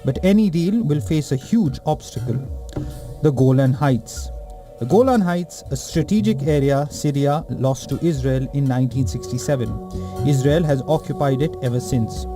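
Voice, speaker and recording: Man reading a steady news voice-over. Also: mid-range voice, normal speed, over music. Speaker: reporter.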